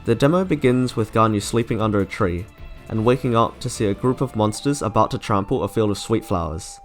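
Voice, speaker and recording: A man's voice: lowish voice, expressive, brisk, faint music behind. A man narrating a scripted video essay as its host.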